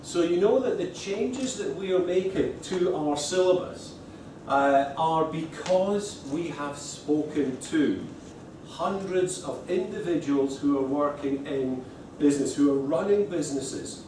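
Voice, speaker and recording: A man's voice: on the high side, a little slow, noisy background. A middle-aged man with a Scottish accent giving an informal conference talk, stopping and restarting often. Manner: hesitant, many restarts and fillers. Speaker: speaker at a lecturers' conference. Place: conference room. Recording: echoey room from far off.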